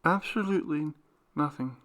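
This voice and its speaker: A gentle young woman passenger in a storybook tale, played by a male reader. The voice is lifted in pitch, with deliberate, curious phrasing. She sounds probing and thoughtful. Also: close to an everyday voice. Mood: pensive.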